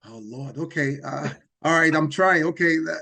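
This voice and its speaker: A man's voice: a little high, normal speed, vocal fry, bright, forceful tone. A man, a guest organizer, playing a guessing game and stammering as he answers, sometimes breaking into song. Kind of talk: casual chat, game guest.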